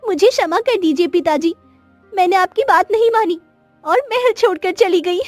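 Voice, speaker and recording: Young princess, played by a woman: very high voice, gentle, pleading, emotional cartoon heroine. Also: expressive, normal speed, no echo, soft background music. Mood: tearful apology. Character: young princess, tearful, contrite, gentle daughter.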